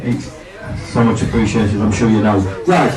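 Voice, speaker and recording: A man's voice: on the low side, normal speed. A man hosting a fan evening, thanking the supporters and introducing the guests. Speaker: event host. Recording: echoey room, far from the mic, crowd behind.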